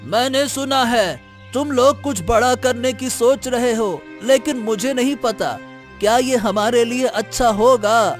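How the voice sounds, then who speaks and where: A man voicing a worried elderly village grandfather who talks hesitantly, with halting starts. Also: very high voice, soft background music. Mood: fearful. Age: older. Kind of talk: cartoon story voice acting.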